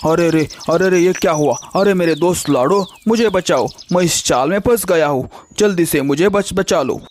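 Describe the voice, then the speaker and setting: A man's voice: a little high, normal speed, close to an everyday voice. A man voicing a deceitful, greedy male kite bird in a children's cartoon story, exclaiming and repeating his words. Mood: pleading.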